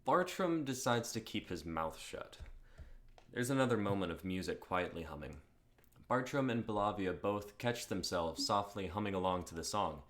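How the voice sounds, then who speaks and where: A man's voice: lowish voice, animated, normal speed, a bit bright. A young man reading stage directions as the narrator of a scripted dramatic reading. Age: young adult.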